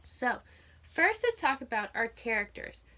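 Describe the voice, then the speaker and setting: A woman's voice: a little high, big pitch swings, a little slow, bright, forceful tone. A woman teaching young children through a read-aloud, telling the story expressively and putting simple, encouraging questions to her listeners.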